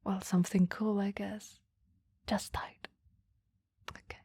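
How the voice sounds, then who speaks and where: A woman playing a caring, flirty, easily flustered boss in a soft, intimate ASMR roleplay, often whispering, gently teasing and a little hesitant. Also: medium pitch, little pitch movement, slow, dry recording, studio quality, exaggerated voice. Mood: relieved, gentle. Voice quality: breathy, soft. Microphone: close microphone, dry.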